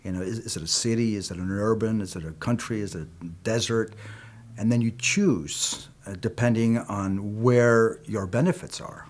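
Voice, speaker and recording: Low-voiced Peru-born film producer. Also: male voice. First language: Spanish. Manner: measured, reflective, frequent "you know". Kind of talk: interview answers.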